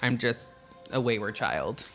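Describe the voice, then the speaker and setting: Ein Mann als Gast im Interview, der locker und verspielt über seinen Weg zum Poledance erzählt und oft über sich selbst lacht. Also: male voice, medium pitch, lively intonation, unhurried, music in the background. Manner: playful, self-mocking, laughs often. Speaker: pole dancer guest. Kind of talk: casual interview chat.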